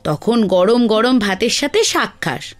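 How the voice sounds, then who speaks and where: A woman voicing a gentle, careworn village mother with a tender, consoling manner, in a children's cartoon. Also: on the high side, very animated, normal speed. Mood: tender, resigned.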